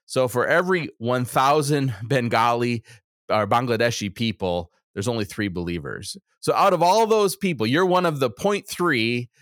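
A man's voice: medium pitch, normal speed, a bit bright, no room sound, studio quality. A man hosting a religious interview show in a conversational manner. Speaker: host.

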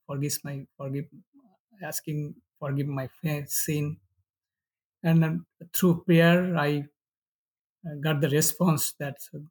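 A middle-aged man from Bangladesh with a Bangladeshi accent and Bengali as first language, giving personal testimony haltingly in non-fluent English. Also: fairly high pitch, darkish tone, husky, rough recording. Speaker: pastor, interview guest.

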